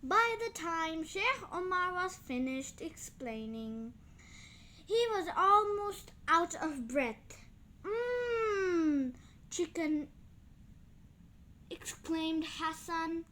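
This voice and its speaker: A child narrates a storybook in a very high, bright voice. The reading is careful and expressive, unhurried and full of lively swings in pitch. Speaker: storybook reader.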